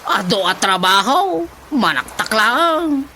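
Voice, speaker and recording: A woman's voice: on the high side, sing-song, slow pace, sharp tone, slight background noise, exaggerated voice. An actress playing a complaining village woman in an Ilocano radio drama comedy, with an Ilocano accent and music underneath.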